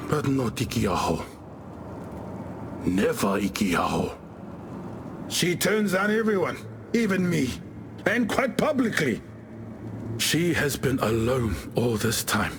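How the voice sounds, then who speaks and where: Expressive, easygoing island warrior chieftain. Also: male voice, medium pitch, takes it slowly, long pauses, background noise, exaggerated voice. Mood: reflective, wistful. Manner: wry, expressive storyteller. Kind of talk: video game character dialogue. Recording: music behind the voice. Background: game music.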